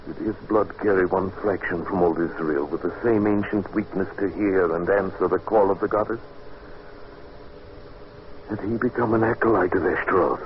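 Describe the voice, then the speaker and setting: Male actor as uneasy gentleman narrator for vintage radio play: deep, musing, measured, dramatic, on a muffled old recording. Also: a little hiss or hum, natural voice. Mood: dawning dread. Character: male narrator Buchan, curious, uneasy house guest. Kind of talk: old time radio drama acting, first-person narration.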